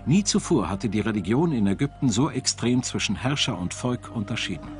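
Expressive male documentary narrator. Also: medium pitch, brisk. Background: background music.

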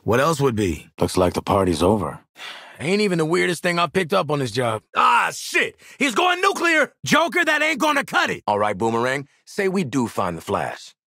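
An actor playing a tough, cocky, wry mercenary sniper in a video game, with streetwise, slangy, profane swagger. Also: male voice, mid-range voice, sing-song, a little fast, dry recording, studio quality. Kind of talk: short video game combat and banter lines.

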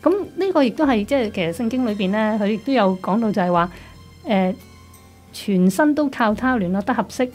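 A woman's voice: mid-range voice, expressive, a little fast, darkish tone. A woman with a Hong Kong Cantonese accent giving a conversational testimony as a guest in a radio studio. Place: small padded radio studio. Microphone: studio desk microphone. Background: music.

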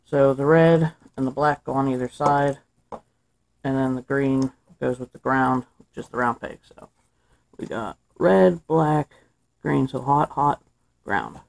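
A man's voice: medium pitch, takes it slowly, creaky, low-quality recording. A young man casually talking through a wiring job step by step, recorded indoors at a workbench on the camera's mic. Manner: relaxed, thinks aloud while working. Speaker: DIY maker. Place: indoor workshop table.

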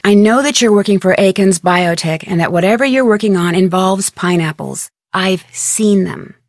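A woman playing an inquisitive, polite and persistent young American amateur detective, speaking scripted adventure game dialogue in an earnest, expressive way. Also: mid-range voice, moderate pace, dry recording, clean studio sound, lightly acted. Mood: earnest, probing. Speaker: video game voice actress.

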